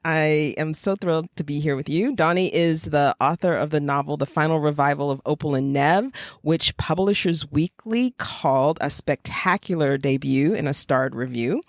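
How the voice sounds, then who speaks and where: A woman's voice: low voice, moderate pace, dry recording, studio quality. A woman from Florida with an American accent, co-hosting a podcast in a candid, informal conversational manner. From Florida, United States. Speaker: podcast co-host, writer.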